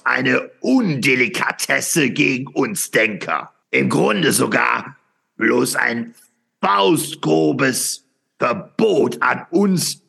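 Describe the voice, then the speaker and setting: Gravelly, fervent brooding philosopher. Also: male voice, medium pitch, bright, forceful tone, exaggerated voice. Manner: declamatory, halting, with dramatic pauses and repetitions. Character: fervent, brooding male philosopher proclaiming eternal return. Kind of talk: theatrical radio play monologue.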